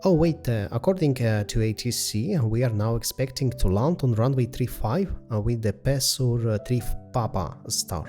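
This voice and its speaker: A man's voice: medium pitch, expressive, normal speed, few pauses, dark tone, soft background music. A man hosting a flight simulator video, giving methodical step-by-step commentary in a hesitant delivery.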